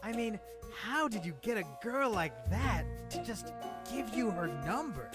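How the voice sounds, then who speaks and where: A woman's voice: a little high, lively intonation, a little slow, music in the background. A woman voicing an amazed, envious young classmate in a visual novel game.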